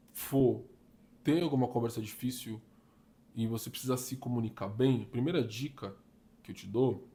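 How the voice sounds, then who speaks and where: A young man from Brazil, giving casual career advice in an animated, slangy way. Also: mid-range voice. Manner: slangy and animated, uses "tá ligado", "mano", "papo reto". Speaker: career vlogger giving communication tips.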